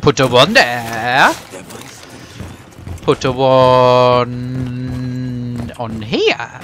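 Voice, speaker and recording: A young man, chatting excitably and teasingly with friends while gaming. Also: mid-range voice, extremely slow, slight background noise. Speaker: gamer. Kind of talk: casual gaming chat.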